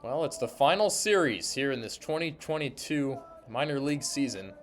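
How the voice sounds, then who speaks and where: A man's voice: mid-range voice, expressive, moderate pace. Male baseball announcer over ambient noise. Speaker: sports broadcaster, interviewer and play-by-play announcer. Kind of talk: baseball radio play-by-play commentary. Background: ambience of a sparsely filled ballpark.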